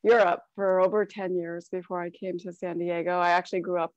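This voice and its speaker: A woman from Cleveland, Ohio, chats warmly about herself on a video panel with a few ums. Her call audio sounds dry and a little dull. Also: on the low side, little pitch movement, a little fast, dry recording. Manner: friendly, chatty, some fillers. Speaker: artist on a panel. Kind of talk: casual panel talk.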